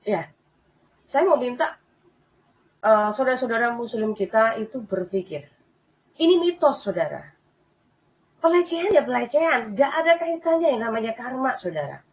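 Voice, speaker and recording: A woman's voice: a little high, very animated, normal speed, long pauses. Female host, casual chatty commentary, keeps addressing listeners, muffled recording. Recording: dull lo-fi sound.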